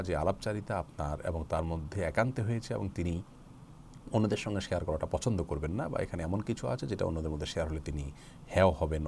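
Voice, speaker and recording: A man gives a religious question-and-answer lecture in a very low voice with a gravelly creak. His delivery is formal and explanatory, measured out with hesitant pauses. Noticeable noise sits behind him. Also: a little mellow.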